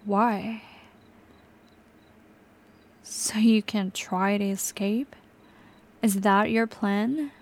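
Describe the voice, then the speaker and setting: A young woman voicing an obsessive, volatile captor in an audio roleplay, sliding between soft whispers and sudden sharp outbursts. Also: mid-range voice, fairly even intonation, extremely slow, long pauses. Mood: irritated.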